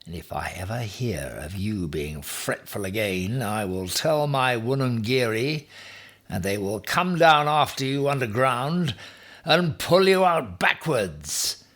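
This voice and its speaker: A man's voice: mid-range voice, expressive, slow, fairly bright tone, pitched above the performer's own voice. A man voicing a bossy, boastful would-be emperor in a children's story, with a pompous, emphatic, commanding manner. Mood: stern, boastful. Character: Ham, bossy, boastful man, self-styled future emperor.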